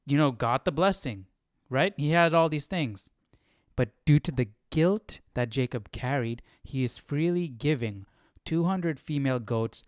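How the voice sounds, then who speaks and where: A man's voice: medium pitch, clean tone, no echo, clean studio sound. A man hosting a Bible study, casually commenting and thinking aloud with frequent questions and check-in tags. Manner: questioning, often adds "right?".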